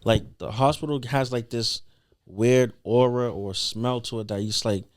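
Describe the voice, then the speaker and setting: A man's voice: mid-range voice, steady tone, moderate pace. A young man telling a personal story as a podcast guest in a casual, slangy, earnest conversational way.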